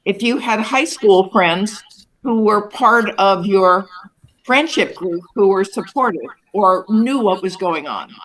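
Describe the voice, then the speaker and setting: A woman's voice: mid-range voice, expressive, slow, fairly bright tone, rough recording. A woman in her eighties asking a casual question as an audience member on a video call. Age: 80s.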